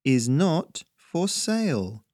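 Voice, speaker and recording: A man's voice: high-pitched, big pitch swings, normal speed, no echo, studio quality, voice pushed up, heavily acted. A man voicing a puzzled, indignant young farm lad in an audio story. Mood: exasperated. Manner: incredulous, earnest, plain.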